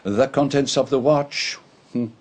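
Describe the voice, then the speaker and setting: An actor playing a shrewd, courtly Belgian detective in a radio drama, speaking precisely and formally with a Belgian French accent. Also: male voice, medium pitch, a little slow, darkish tone, lightly acted. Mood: grave.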